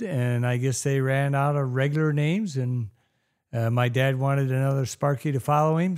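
An older man from Ohio, a guest telling stories in a plain-spoken, unhurried way with frequent pauses. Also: mid-range voice, darkish tone. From Ohio, USA. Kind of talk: casual interview chat.

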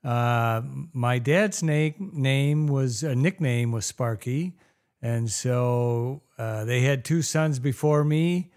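Older man, plain-spoken and unhurried. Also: medium pitch, a little mellow, smooth. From Ohio, USA. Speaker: guest. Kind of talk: casual interview chat.

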